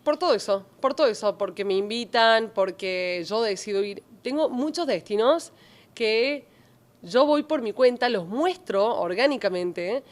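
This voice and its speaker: A woman in her thirties from Córdoba, Argentina, with a Cordoban accent, telling her story as a podcast guest. She talks in an animated way, full of fillers and restarts. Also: on the high side, measured pace. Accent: Cordoban Argentine Spanish. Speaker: journalist and travel creator guest. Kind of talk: podcast interview.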